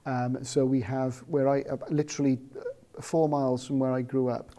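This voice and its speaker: Guy, parapsychologist, mellow tone, steady and explanatory, lots of ums. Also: mid-range voice. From South Wales. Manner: measured, explanatory, with frequent ums. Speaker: parapsychologist guest. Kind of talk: casual interview chat.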